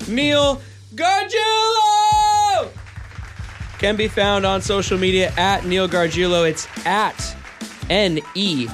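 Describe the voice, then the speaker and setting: A man hosting a podcast, joking with guests and running through a rapid sign-off of plugs and shout-outs. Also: sits high. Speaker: podcast host. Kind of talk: casual chat and show sign-off.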